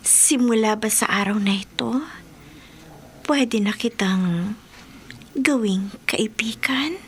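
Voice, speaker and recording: A woman playing a mysterious, lonely and softly seductive admirer in a horror radio drama, speaking in a hushed, breathy way. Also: on the high side, a little hiss or hum. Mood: soft, alluring. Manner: slow, hushed, breathy whispering delivery.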